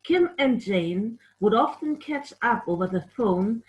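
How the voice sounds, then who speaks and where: A woman tells a picture-book story to children at a slow, unhurried pace. Her delivery is expressive, and she speaks to young listeners directly, as a puppeteer storyteller would. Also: on the high side, poor audio. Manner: expressive, addressing children with questions.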